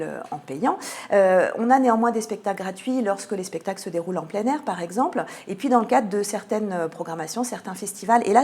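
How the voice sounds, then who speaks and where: A woman's voice: medium pitch, darkish tone. A woman with an accent from France, explaining a cultural programme as a radio interview guest, articulate but often hesitating as she finds her words. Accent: French from France.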